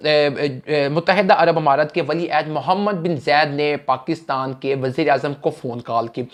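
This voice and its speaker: A man giving spoken news commentary, formal, addressing viewers directly, with frequent restarts and fillers. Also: on the high side.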